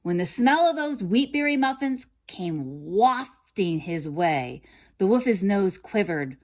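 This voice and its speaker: A woman narrating a children's story in a dramatic, deliberate, expressive way. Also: medium pitch. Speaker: storybook reader.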